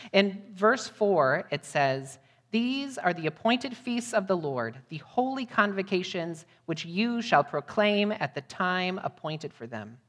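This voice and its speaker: A woman's voice: lowish voice, a bit bright. An American woman giving a sermon to a congregation as a guest missionary preacher. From United States, Midwest. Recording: long hall reverb.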